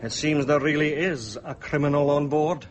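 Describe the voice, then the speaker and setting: Actor as dry, self-assured surgeon. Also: male voice, a little high, moderate pace, natural voice. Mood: dry, confiding. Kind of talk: radio drama dialogue.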